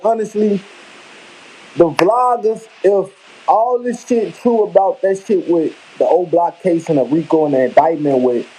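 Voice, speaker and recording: A man's voice: on the high side, dark tone, slightly rough, poor audio. A man from Chicago with a Chicago accent, talking casually on a live vlog in a rambling, emphatic way. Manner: rambling, emphatic, slangy, repeats himself. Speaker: vlogger. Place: home kitchen.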